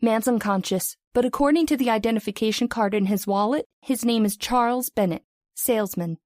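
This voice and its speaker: A woman's voice: fairly high pitch, a little fast, a little mellow, no echo, clean studio sound, natural voice. A woman playing an efficient hospital switchboard operator in a radio drama, businesslike and clipped. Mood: brisk, businesslike. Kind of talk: old-time radio drama acting.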